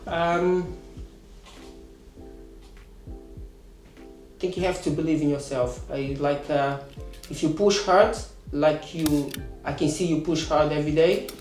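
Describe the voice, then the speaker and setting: Student, 30s, halting English, in an echoey room over music. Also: male voice, fairly high pitch, a little mellow. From Portugal. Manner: hesitant, many fillers and restarts. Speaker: course student. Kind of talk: casual interview answers.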